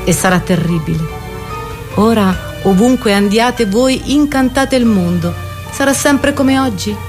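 A woman's voice: fairly high pitch, unhurried, lightly acted. A woman playing a worldly aesthete who urges youth and pleasure, in a declamatory, persuasive literary reading. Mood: seductive, ominous. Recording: loud music behind the voice.

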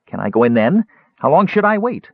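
A man doing Tass, an excitable little kender. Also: a little high, a little fast, gravelly, breathy edge, no echo, voice pushed up, exaggerated voice. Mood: eager, chattering. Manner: eager, breathless chatter. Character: excitable chatty male kender, small and childlike. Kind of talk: audiobook character voice. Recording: dull, dry lo-fi recording.

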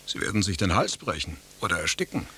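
An actor playing a grumpy, sardonic husband in a radio play, with dry, mocking, formal diction. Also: male voice, sits low, sing-song, quick pace, pushed and bright, husky, exaggerated voice. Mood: irritated. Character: grumpy, sardonic husband in a bickering beach conversation piece. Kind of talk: German radio play acting. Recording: faint tape hiss.

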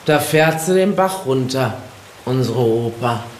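Radio play: a blunt, tipsy choir woman, low and animated, faint room hum. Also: measured pace, roomy sound. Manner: lively, pushy, blunt. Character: tipsy, nosy village choir woman turned sleuth.

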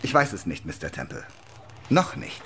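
An actor playing a suave, guarded major with dry irony in a radio drama, speaking in a formal, deliberate way. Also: male voice, on the low side, wildly swooping pitch, sharp tone, slight background noise, exaggerated voice.

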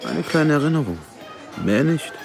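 An actor playing a crime-family patriarch in a radio drama, measured and paternal with veiled threat. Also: male voice, fairly high pitch, very animated, vocal fry, music in the background. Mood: softly threatening. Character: menacingly calm male crime-family patriarch and moneylender.

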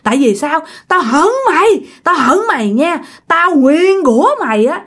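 A woman's voice: fairly high pitch, sing-song, moderate pace, fairly bright tone, husky, heavily acted. A woman with a Southern Vietnamese accent voicing a jealous, bitter female ghost in a told horror story, accusing and cursing with insistent repetition. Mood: vengeful, cursing. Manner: accusing, repeats phrases insistently, bursts of menacing laughter.

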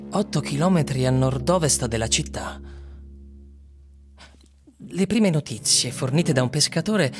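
An actor playing a man who solemnly reads an eerie literary tale aloud in a radio drama. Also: mid-range voice. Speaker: narrator and actor. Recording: music under the voice.

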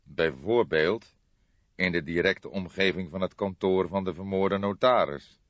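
Man acting a calm, deep-voiced old detective. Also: no echo, lo-fi sound. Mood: thoughtful. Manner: measured, deliberate, soft-spoken. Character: calm, shrewd, grey-haired older male police detective.

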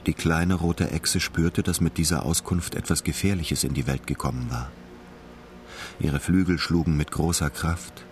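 A man's voice: very deep voice, fairly even intonation, moderate pace. A man delivering scripted literary narration as the narrator of a radio drama. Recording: studio voice over music.

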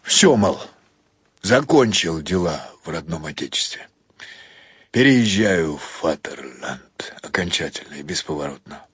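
Male actor as a low-voiced, patronizing tipsy boss. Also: expressive, unhurried, slightly rough, no echo, studio quality, full character voice. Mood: halting, tipsy.

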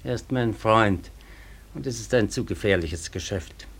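An actor in a German radio drama playing a cautious, commanding raja with deliberate, authoritative delivery. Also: male voice, lowish voice, fairly bright tone, slightly rough, exaggerated voice. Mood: stern, advising.

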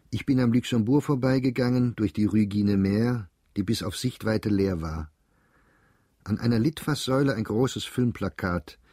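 A man reading diary entries aloud for a radio drama in a measured, reflective, understated way. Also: lowish voice, dark tone, breathy edge, no echo, clean studio sound.

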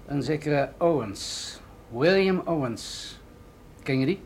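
An actor in a radio drama playing an authoritative military general, grave and deliberate as he explains things. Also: on the high side, lively intonation, darkish tone, slight background noise. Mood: serious, probing.

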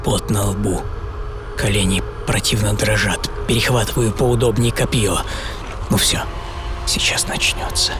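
An actor playing a young idealistic lawyer in a theatrical, intense radio monologue with deliberate pauses. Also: male voice, on the low side, fairly even intonation, natural voice. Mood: tense, hushed. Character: young idealistic American lawyer, a fiery crusader recounting his fight. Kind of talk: dramatic first-person radio monologue in Russian. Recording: studio voice over prominent music. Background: music.

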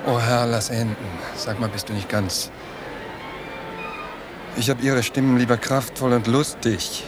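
An actor playing a teasing, unimpressed confidant in a radio play, colloquial and mocking. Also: male voice, mid-range voice, level tone, a little slow, over music, natural voice.

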